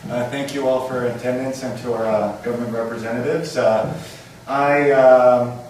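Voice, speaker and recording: A man giving a halting, earnest, unscripted question from the audience at a public meeting. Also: medium pitch, steady tone, darkish tone. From Syria or Canada. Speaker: audience member asking a question. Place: civic centre meeting room. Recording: echoey hall from far off, faint noise.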